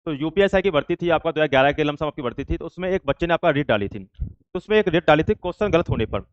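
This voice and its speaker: A man's voice: a little high, animated, quick pace, clear, resonant tone, dry recording, highs cut off. A man teaching exam students in an informal way, explaining step by step with repeated examples.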